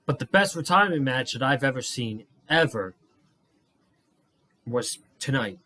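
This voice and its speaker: A young man with a hoarse, worn-out voice, reviewing wrestling on his own vlog. He talks at an unhurried, drawn-out pace, rambling and swearing freely, and sounds tired. His pitch sits in the middle for a man. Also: animated, bright, forceful tone. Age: young adult. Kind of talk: casual solo review vlog.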